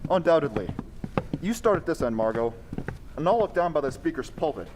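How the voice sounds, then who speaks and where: A man's voice: a little high, sing-song, brisk. Man playing composed radio detective, echoing hall. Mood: purposeful. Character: composed, inquisitive gentleman detective in a radio mystery. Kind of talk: 1940s radio drama acting. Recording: echoey hall, faint background noise.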